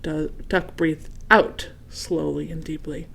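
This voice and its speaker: A woman reading a children's storybook aloud expressively, pausing to ask her young listeners questions and add comments. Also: low voice, steady tone, creaky, slight background noise. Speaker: read-aloud host.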